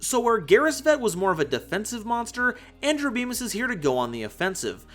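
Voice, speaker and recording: A man presenting scripted card game commentary in an animated, playful way, with conversational asides. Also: sits high, a little fast, faint music behind. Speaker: host of a card game analysis channel.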